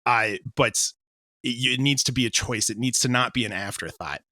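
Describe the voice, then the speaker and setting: A man's voice: mid-range voice, moderate pace, pushed and bright, no echo. A man speaking casually as an interview guest, explaining his creative work and voicing his own thoughts as quotes. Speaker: composer and audio drama creator interviewed as a guest.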